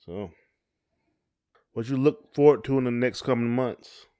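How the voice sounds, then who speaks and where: A man's voice: medium pitch, unhurried, warm tone, clear, resonant tone. A man co-hosting a podcast in a casual chat, with folksy, loose phrasing. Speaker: podcast co-host.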